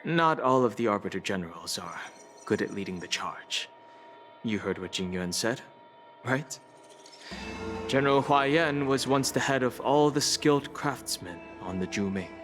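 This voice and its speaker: An actor voicing a calm, knowledgeable young man who explains things in a composed way, from game audio. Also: fairly low pitch, moderate pace. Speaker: game character. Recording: game audio with music behind.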